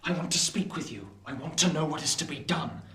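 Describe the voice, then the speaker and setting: An actor playing an earnest, anxious young gentleman, formal and urgent in a radio play. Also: a little high, expressive, brisk, recorded from a distance. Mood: distressed, urgent. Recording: echoey room, off-mic.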